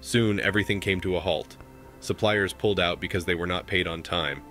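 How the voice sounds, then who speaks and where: Low, bright-voiced man reading commentary. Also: fairly quick, soft background music. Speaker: commentary host. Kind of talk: reading posts aloud for commentary.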